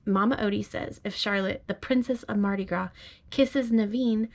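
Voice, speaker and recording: A woman speaking gently, as a parent does to a small child at bedtime. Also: mid-range voice, fairly even intonation, moderate pace, noisy background. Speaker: parent reading aloud. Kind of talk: bedtime storybook read-aloud. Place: bedroom at home.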